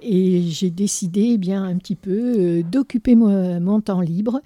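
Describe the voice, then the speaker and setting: A woman's voice: medium pitch, unhurried, warm tone, no echo. A woman in her sixties from the Landes, a retired radio guest telling her life story in a plain, chatty way with fillers. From Landes, France. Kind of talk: casual radio interview. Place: radio studio.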